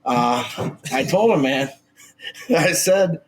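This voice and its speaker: A man's voice: medium pitch, lively intonation, extremely slow. A man in his twenties co-hosting a casual sports podcast, his voice a little scratchy, talking brashly with lots of fillers and swearing. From Minnesota. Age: 20s. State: slightly scratchy voice. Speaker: podcast co-host.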